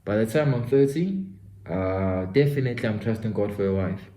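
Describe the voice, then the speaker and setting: A man's voice: lowish voice, expressive, moderate pace, mellow. A Zulu-speaking South African man in his twenties tells an earnest story and talks straight to the listener, as if to a friend. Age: 20s. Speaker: self-development vlogger. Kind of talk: motivational storytelling vlog. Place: his home studio. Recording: roomy echo.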